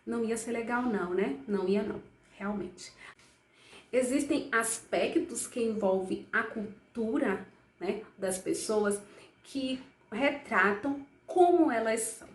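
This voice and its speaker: A woman with a Brazilian accent teaching a lesson to children in a lively, coaxing way, asking questions and answering them herself. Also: a little high, a little slow, reverberant.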